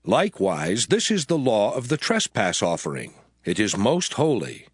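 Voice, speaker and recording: God, solemnly declaiming with sweeping pitch. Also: male voice, huge pitch swings, normal speed, husky, no room sound, studio quality, heavily acted. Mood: solemn, commanding. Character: God solemnly proclaiming sacred laws to Moses. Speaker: scripture voice actor. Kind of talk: dramatized scripture reading. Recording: music under the voice. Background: background music.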